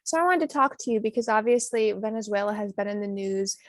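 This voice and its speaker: A woman's voice: medium pitch, fast, no echo. A woman hosting a conversational political interview show and putting questions to a guest.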